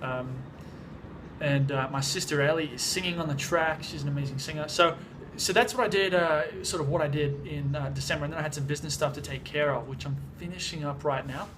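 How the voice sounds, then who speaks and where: A young Australian man from Sydney, a bit hoarse from being sick, talking casually to the camera in a relaxed, chatty way with lots of fillers and restarts. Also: on the high side, a little fast, background noise. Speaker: music producer vlogger. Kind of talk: casual vlog talk to camera. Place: home in the mountains, moving between outdoors and indoors.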